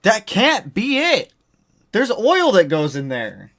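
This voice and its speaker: A man's voice: sits high, huge pitch swings, takes it slowly, a bit bright. A man hosting a casual livestream, chatting with viewers and rambling from one topic to the next. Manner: rambling, jumps between topics. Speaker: livestream host. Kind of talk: casual chat answering viewers.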